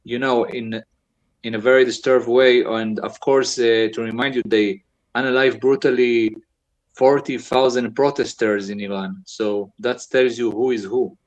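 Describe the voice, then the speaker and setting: Israeli guy calling in, mid-range voice, slow and halting, keeps restarting. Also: mid-range voice, steady tone, highs cut off. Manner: hesitant, many fillers and restarts, searching for words. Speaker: caller. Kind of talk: casual call-in chat.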